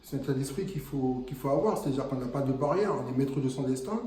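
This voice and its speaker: A man's voice: mid-range voice, quick pace, warm tone. Earnest man in an echoey room. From French Guiana. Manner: earnest, motivational, hesitant fillers. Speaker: interview guest, property investor. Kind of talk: casual interview.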